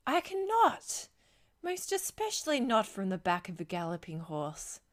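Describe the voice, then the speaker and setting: Man voicing an urgent, high-pitched commanding sorceress. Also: wildly swooping pitch, a little slow, fairly bright tone, exaggerated voice. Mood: urgent, grave. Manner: formal, emphatic. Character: urgent, commanding female sorceress shouting over wind.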